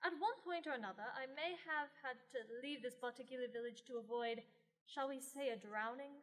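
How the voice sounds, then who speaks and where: A woman's voice: sits high, fairly quick, a bit bright. A young woman playing a long-lived sorceress in a radio play, blunt and dry with sardonic, theatrical asides. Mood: evasive. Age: young adult. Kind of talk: scripted radio play acting.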